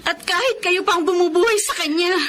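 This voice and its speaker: A woman's voice: very high-pitched, brisk, a bit bright, a little hiss or hum. A young woman playing a protective, wounded elder sister in a radio drama, pleading and tearful. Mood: hurt, indignant. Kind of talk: Tagalog radio drama acting.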